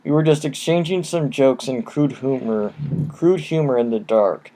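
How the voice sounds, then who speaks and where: A man narrating creepy first-person tales at a mid-range pitch. He reads in a steady, low-key way, and a cheap microphone colours the sound. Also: moderate pace, poor audio. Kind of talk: reading horror stories aloud.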